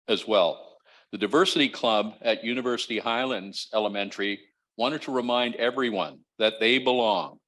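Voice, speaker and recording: A man reads a scripted community news roundup as a school trustee. His pitch is average, and he reads carefully, with the odd stumble. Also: a bit bright.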